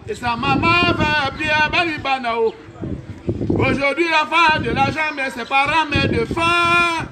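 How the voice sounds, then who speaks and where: A man hosting a charity appeal as a singer, moving between call-and-response singing and casual, directive banter in animated, drawn-out phrases. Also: very high voice, very noisy.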